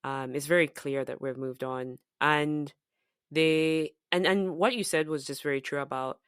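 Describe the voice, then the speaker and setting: A woman from Zambia whose accent is softened by years in the US and UK, co-hosting a podcast in casual, reflective chat with frequent fillers and restarts. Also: low-pitched, steady tone, unhurried, smooth, dry recording, studio quality. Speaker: podcast co-host.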